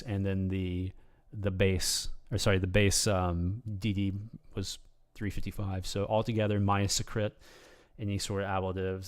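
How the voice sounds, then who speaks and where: A man with a slightly hoarse voice giving an unscripted, rambling game tutorial, full of ums and restarts in a relaxed, casual way. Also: deep voice. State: slightly hoarse, losing his voice. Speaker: game guide video creator.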